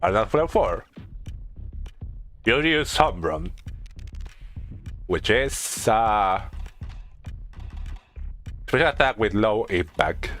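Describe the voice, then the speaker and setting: A man giving casual video game commentary as a streamer, haltingly thinking aloud and often repeating himself. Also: lowish voice, expressive, vocal fry, fairly bright tone, soft background music.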